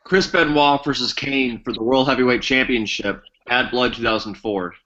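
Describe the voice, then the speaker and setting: Man with a bright tone cracking dry jokes on a group call, lo-fi sound. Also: mid-range voice, moderate pace. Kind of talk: rowdy group video chat. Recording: dull lo-fi call audio.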